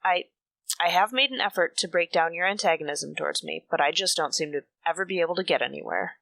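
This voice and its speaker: A woman's voice: lowish voice, quick pace, gravelly, a bit bright, no echo. A woman playing a bitter, defensive mature woman in a radio soap, speaking in a guarded, clipped way. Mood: defensive, weary. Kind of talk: radio soap opera acting.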